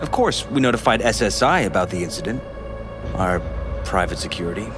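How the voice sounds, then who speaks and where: An actor playing a polished but troubled middle-aged politician in a video game, speaking in a measured, courteous, earnest way. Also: male voice, fairly low pitch, lively intonation, close to an everyday voice. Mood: confiding, measured. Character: polished, troubled male politician confiding in an investigator. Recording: music behind.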